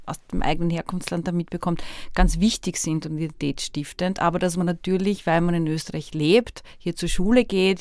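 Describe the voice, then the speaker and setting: A woman's voice: on the low side, a little mellow, no room sound, clean studio sound. A woman with an Austrian accent hosting a podcast, talking reflectively and searching for her words. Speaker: podcast host. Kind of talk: reflective conversational interview.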